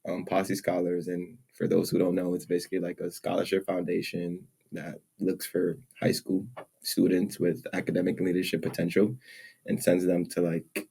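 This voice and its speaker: Young male co-host, relaxed and chatty, very low voice with vocal fry. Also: moderate pace. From Nigeria. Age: young adult. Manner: laid-back, laughs often, many "like" fillers. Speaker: podcast co-host. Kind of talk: casual chat. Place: room, seated in chairs.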